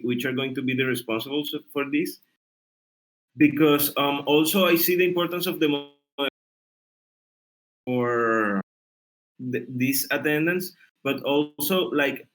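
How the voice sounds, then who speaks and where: Hesitant mid-pitched man, non-native English. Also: a bit bright, rough recording. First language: not English. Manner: hesitant, halting. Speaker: working group participant. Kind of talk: casual meeting discussion. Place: online group call.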